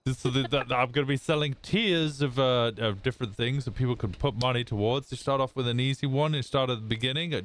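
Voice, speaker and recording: A man talking in character in a roleplay, brash and blustering, swearing as he pitches an idea. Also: fast, few pauses, a bit bright.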